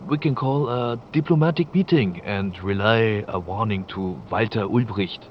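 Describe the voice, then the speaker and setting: An actor playing a cautious, exacting official in an audio drama, speaking formally and firmly on a taped record. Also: male voice, fairly low pitch, normal speed, natural voice. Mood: measured. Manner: formal, firm. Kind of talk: cinematic audio drama acting. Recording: dull, faintly noisy tape playback.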